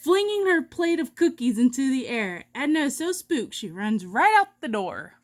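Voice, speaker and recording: A woman reading a children's storybook aloud in an expressive, dramatic way. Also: high-pitched, normal speed. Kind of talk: animated storybook read-aloud.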